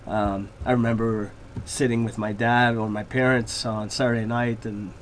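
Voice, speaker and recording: Man, relaxed and rambling. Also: lowish voice, normal speed, a little hiss or hum. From Laval, Quebec, Canada. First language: French. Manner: relaxed, rambling with many fillers. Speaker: hockey player guest. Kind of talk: radio interview.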